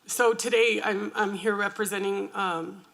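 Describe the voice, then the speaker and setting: A woman with grandchildren, a peer support worker, introducing herself on a panel in a loud, emotional, earnest way. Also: medium pitch, fairly even intonation, measured pace, vocal fry, slight background noise. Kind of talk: panel introduction at a public forum.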